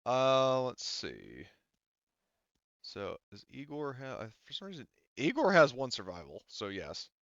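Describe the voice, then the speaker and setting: A man's voice: mid-range voice, wildly swooping pitch, fairly bright tone, dry recording, lo-fi sound. A man running a tabletop game as game master, talking casually and correcting himself as he explains the rules. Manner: halting, self-correcting explanations. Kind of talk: casual tabletop gaming chat.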